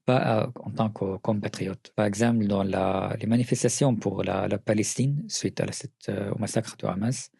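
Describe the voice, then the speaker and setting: A middle-aged man from Syria with a Syrian accent, answering interview questions earnestly, often pausing and restarting. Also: lowish voice, steady tone, creaky, mellow, slightly rough, dry recording, clean studio sound. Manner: earnest, with frequent hesitations and restarts. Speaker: writer and journalist, interview guest.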